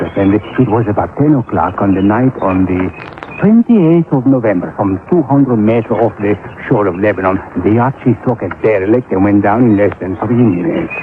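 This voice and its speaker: A man's voice: on the low side, lightly acted. An actor playing an oily, falsely humble sailor with a foreign accent and florid manner, doting on his pet birds in a radio drama. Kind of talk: 1950s radio drama acting. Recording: noisy, tinny old-time radio recording.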